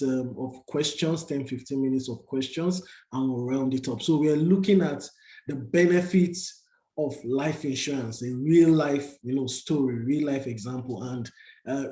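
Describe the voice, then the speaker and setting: A man hosts an online session, pausing often and leaning on fillers. His voice is mellow, and the room around him is echoey. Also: on the high side. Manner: hesitant, many fillers. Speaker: webinar host. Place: Calgary.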